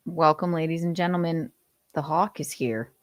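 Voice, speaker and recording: A woman's voice: low-pitched, no room sound, studio quality. A woman hosting a comedic live stream, playful and mock-shocked, with lots of hesitant fillers.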